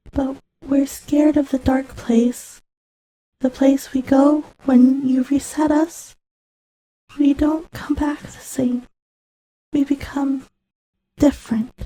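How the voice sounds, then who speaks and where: A woman playing a very soft, lonely machine child. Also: high voice, poor audio. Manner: slow, halting, pleading. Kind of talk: scripted audio drama monologue.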